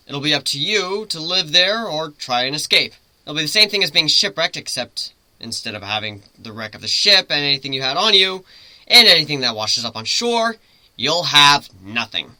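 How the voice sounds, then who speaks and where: A man voicing a brash, commanding griffin ship's captain in a story reading, loud, theatrical and taunting. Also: fairly high pitch, very animated, normal speed, no echo, heavily acted. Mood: teasing menace.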